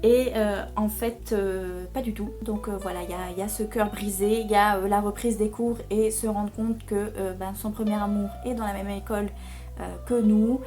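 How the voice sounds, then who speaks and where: A young woman talking casually about books, pausing often and filling gaps with hesitant sounds. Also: medium pitch, fairly even intonation, warm tone, soft background music. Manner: hesitant, many fillers. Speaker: book vlogger. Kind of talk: casual book chat.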